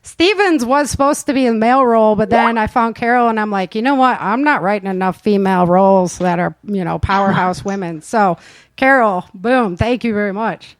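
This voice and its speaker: A woman's voice: mid-range voice, brisk, dry recording. A woman hosting a casual live interview chat, talking in a chatty, effusive way as she introduces performers.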